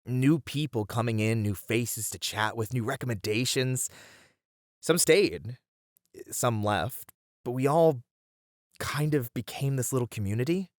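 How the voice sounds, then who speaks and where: A man's voice: medium pitch, a little slow, dry recording, studio quality, natural voice. A man playing a friendly young bunny boy, a chatty and welcoming manga cafe regular, in a casual ASMR roleplay. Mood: fond, nostalgic. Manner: chatty, easygoing, welcoming, with casual slangy hesitations.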